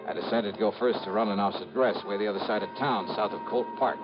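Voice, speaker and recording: Casting: male actor for tough no-nonsense American private investigator, rapid clipped delivery, vintage radio mystery with music behind, muffled sound. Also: fairly low pitch, lightly acted. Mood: wry narrating. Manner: blunt, clipped, hard-boiled. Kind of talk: 1950s radio drama acting, first-person narration and dialogue.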